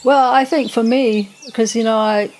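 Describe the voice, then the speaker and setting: An older woman who grew up in Tanzania, a podcast guest recalling her life in a rambling, relaxed way with many restarts. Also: on the high side, moderate pace, a little hiss or hum, poor audio. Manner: rambling, warm recollection with frequent restarts and soft laughs. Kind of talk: relaxed conversational storytelling.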